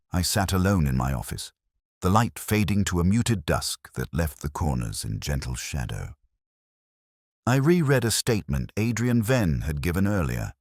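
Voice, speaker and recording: Very deep-voiced man narrating a detective story. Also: a little mellow, no room sound, clean studio sound. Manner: measured, literary, brooding delivery. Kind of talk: scripted first-person detective story narration.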